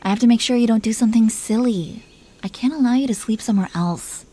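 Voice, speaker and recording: Mellow, possessive dragon queen played by a woman. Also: on the high side, fast, slightly rough. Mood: coolly possessive. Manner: playful, commanding, mock-sweet. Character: possessive, teasing dragon queen, dominant yet doting wife. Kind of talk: intimate roleplay audio acting.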